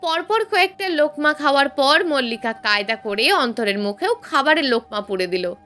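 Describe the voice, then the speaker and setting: A woman's voice: high voice, expressive, moderate pace, sharp tone. A woman reading a scripted story aloud as a dramatic narration. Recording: music behind the voice. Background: background music.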